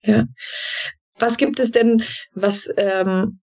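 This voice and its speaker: A woman hosting a conversational podcast interview, phrasing her questions in a searching, halting way with trailing tags. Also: on the high side, fairly even intonation, darkish tone. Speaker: podcast host interviewing a guest.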